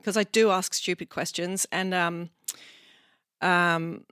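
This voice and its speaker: A woman's voice: on the low side, fairly even intonation, slow pace, fairly bright tone, clear, resonant tone, dry recording, clean studio sound. A woman in her fifties, a songwriter and sound engineer, chatting as a guest in a casual, self-deprecating way and laughing often. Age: 50s. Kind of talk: casual interview chat.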